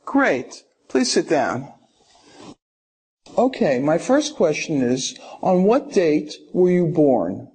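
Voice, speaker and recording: High, mellow male interviewer voice. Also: big pitch swings, slow pace, husky. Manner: formal, direct questioning. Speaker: interviewing officer in a role-play. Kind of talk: scripted interview questions.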